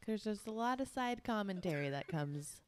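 A young woman from Texas co-hosting a casual podcast, playful and animated, swinging between loud and soft. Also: mid-range voice, moderate pace, slight background noise. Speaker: podcast co-host. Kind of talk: casual chat.

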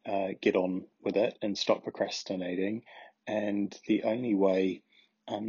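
Husky New man, lo-fi sound. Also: on the low side, a little mellow, dry recording. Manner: earnest, encouraging, halting. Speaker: podcast host. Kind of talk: reflective podcast trailer. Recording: dull lo-fi recording.

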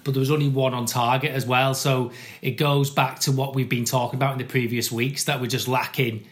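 Croaky-voiced man talking football. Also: mid-range voice, flat delivery. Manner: halting, many restarts. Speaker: podcast co-host.

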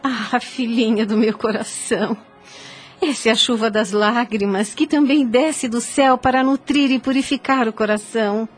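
An actress playing a tender, devout, sorrowful mother in a radio soap opera, with a husky voice and a gentle, heartfelt manner. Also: a little high, steady tone, moderate pace, soft background music, lightly acted. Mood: wistful.

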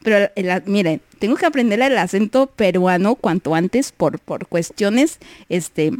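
A woman's voice: medium pitch, unhurried, a little mellow, dry recording. A young Mexican woman hosting a livestream, chatting casually, reading messages and giggling often. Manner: giggly, chatty, many fillers. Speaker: livestream host.

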